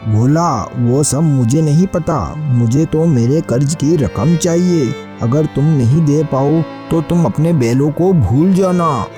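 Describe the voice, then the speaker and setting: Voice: mid-range voice, slow, few pauses, dark tone, faint music behind, lightly acted. A performer voicing a greedy, callous male village moneylender in a cartoon moral story, cold and calculating. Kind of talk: Hindi animated moral story dialogue.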